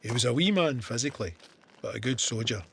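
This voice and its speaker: An actor with a Scottish accent playing a soldier who narrates his war memoir in a measured, vivid, plain-spoken way. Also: medium pitch, animated, gravelly, slight background noise. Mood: warm, reflective. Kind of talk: scripted first-person war memoir narration.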